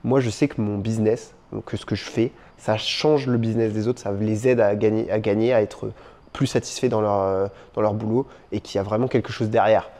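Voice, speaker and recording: A man with a mellow, warm-toned voice hosts a relaxed conversation, agreeing readily and winding through long questions. His delivery is loose and chatty, with frequent restarts. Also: fairly low pitch, a little fast. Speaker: interviewer, live host. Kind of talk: casual interview conversation.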